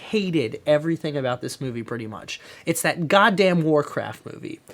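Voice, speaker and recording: A man's voice: a little high, lively intonation, normal speed, a bit bright. A man who hosts a podcast, reviewing films casually in a blunt, emphatic, sweary way. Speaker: podcast host. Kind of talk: casual film review chat.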